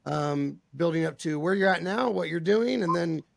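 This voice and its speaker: Gravelly, hoarse male podcast host. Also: fairly high pitch, animated, normal speed, noisy background. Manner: earnest, with many repeated words and restarts. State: losing his voice. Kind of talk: casual interview chat.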